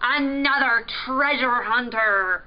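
Woman doing a loud, blustering storybook pirate fish. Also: a little high, takes it slowly, highs cut off. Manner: salty pirate talk, growled and bellowed. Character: blustering, growling old-time pirate fish. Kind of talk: children's storybook character voice.

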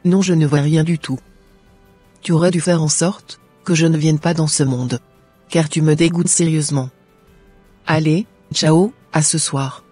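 A woman's voice: low voice, fairly even intonation, unhurried, mellow, slightly rough, soft background music. A woman voicing a resentful, ungrateful young student daughter in a cartoon, complaining with scorn. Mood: angry, scornful.

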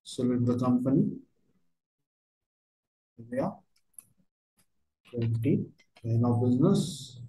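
A man working through a step-by-step software tutorial as a trainer, speaking in halting, fragmented phrases. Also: mid-range voice. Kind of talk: step-by-step screen tutorial narration. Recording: roomy, echoey voice recording.

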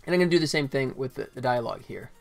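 An American man in his forties chatting casually and haltingly as he livestreams game development, thinking aloud. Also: mid-range voice, expressive, vocal fry, faint music behind. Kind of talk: casual chat while working.

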